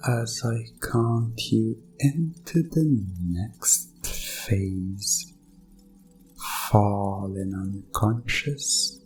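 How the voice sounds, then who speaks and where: Man playing a very slow-spoken evil hypnotist doctor. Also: lowish voice, darkish tone. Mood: soothing, coaxing. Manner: slow, soothing, coaxing, mock-reassuring, deliberate pauses. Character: sinister evil doctor, smoothly condescending male hypnotist. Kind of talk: hypnosis ASMR roleplay.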